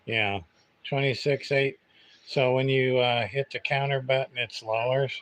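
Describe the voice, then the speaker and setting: A man's voice: medium pitch, fairly even intonation, measured pace, fairly bright tone, low-quality recording. A man hosting a casual livestream demonstration, thinking aloud in a hesitant, rambling, muttering way. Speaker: livestream host, CB radio repairer. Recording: clear background noise.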